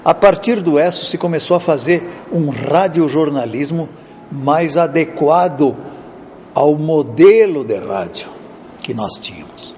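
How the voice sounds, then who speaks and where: A man's voice: a little high, animated, a little slow, a little mellow, a little hiss or hum. A man explaining the history of radio journalism as an interviewee.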